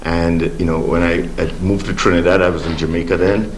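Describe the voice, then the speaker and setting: Older caribbean man, very low voice, easygoing storyteller, faint hiss. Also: little pitch movement, a little fast. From Caribbean, born in Grenada. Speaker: studio guest, sports official. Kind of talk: relaxed interview answers. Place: TV studio.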